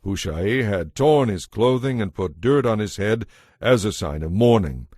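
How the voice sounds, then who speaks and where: Low-pitched male Bible narrator. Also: expressive, unhurried, darkish tone, husky, no room sound.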